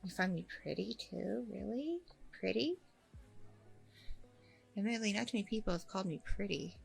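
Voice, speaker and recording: A woman playing a warm, chatty single working mom on a date, in a casual, rambling ASMR roleplay. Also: medium pitch, normal speed, vocal fry, faint music behind, poor audio. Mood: flattered, affectionate. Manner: casual, rambling, informal slangy talk. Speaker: roleplay performer.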